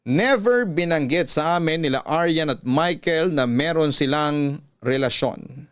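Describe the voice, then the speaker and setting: Male radio storyteller, smooth and clear, fairly high pitch, measured scripted read with dramatic pauses. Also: animated. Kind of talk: scripted narration of a listener's letter.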